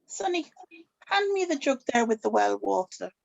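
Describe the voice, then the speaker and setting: A woman's voice: fairly high pitch, big pitch swings, moderate pace, vocal fry, lo-fi sound, full character voice. A woman playing a stern, evasive old witch grandmother in a drama script table read. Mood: brisk, impatient.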